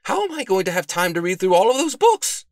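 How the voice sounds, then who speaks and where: An excitable male detective from a storybook, acted out by a male narrator. He is earnest and theatrical, with lively swings in pitch and some gravel in the voice, recorded very dry. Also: a little slow, dry recording, full character voice. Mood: bewildered. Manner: exclaiming, self-questioning, dramatic. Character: excitable, earnest male amateur detective puzzling over mysteries.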